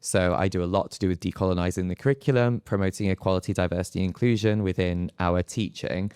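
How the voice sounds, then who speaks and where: A man answering thoughtfully and haltingly as an academic on a live panel, with some self-deprecation. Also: sits low, steady tone, darkish tone, clear, resonant tone.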